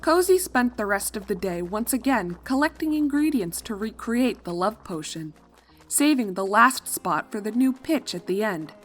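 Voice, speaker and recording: Woman reading fanfic narration, mid voice, storybook style, soft music bed. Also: mid-range voice, expressive, normal speed. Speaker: narrator, fan fiction reader.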